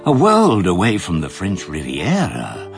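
Brief: gravelly narrator, expressive and ominous, music behind. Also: male voice, deep voice. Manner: slow, ominous, dramatic. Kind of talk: scripted radio drama narration. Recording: music underneath.

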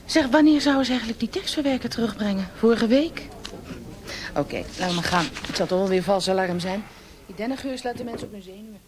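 An actress in a Dutch radio drama playing a brisk, dry-witted female police inspector with a bossy, teasing manner. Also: medium pitch, animated, background noise, natural voice. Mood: dry, busy. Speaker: actor in a radio play.

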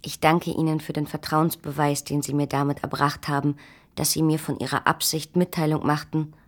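Actress as young female lover in a literary radio play: very low, flat melody, formal, quietly pleading letters. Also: normal speed, lightly acted. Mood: restrained pleading. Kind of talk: German literary radio drama.

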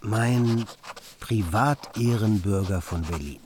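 A man performing a reflective, wry commentator in a literary radio play, with measured, pointed phrasing. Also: lowish voice, darkish tone, slight background noise. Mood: wry, self-satisfied. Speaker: second narrator.

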